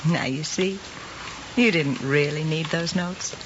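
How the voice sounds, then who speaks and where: An actress playing a composed, gently probing woman teacher, measured and proper in an old screen drama. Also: sits low. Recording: dull, hissy old film soundtrack.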